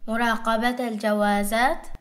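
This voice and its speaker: Brisk woman pronouncing lesson words. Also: a little high, level tone. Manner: short, carefully spoken single words and phrases. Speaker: language teacher pronouncing words. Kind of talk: model word pronunciation for learners.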